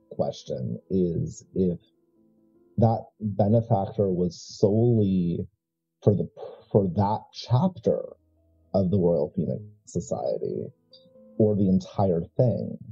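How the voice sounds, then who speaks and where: A very dark, low-voiced heir to an occult family, played by a man at a game table, who weighs each phrase with halting deliberation. He is proud and coldly calculating. Music sits behind the muffled sound. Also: lively intonation, gravelly, husky, exaggerated voice. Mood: proud, scheming. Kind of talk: improvised tabletop horror roleplay.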